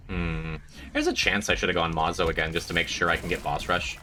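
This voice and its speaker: Stuffed-up man chatting over gameplay. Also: bright, forceful tone. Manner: rambling, self-mocking, breaks into high squeaky jokes. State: congested, stuffed-up voice. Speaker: streamer. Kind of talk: casual gameplay commentary to chat.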